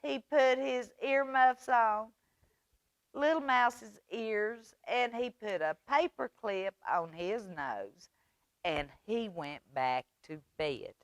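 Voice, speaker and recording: A woman reading aloud at a children's storytime, expressive and using simple phrasing for small children. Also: on the high side, slow pace, no room sound.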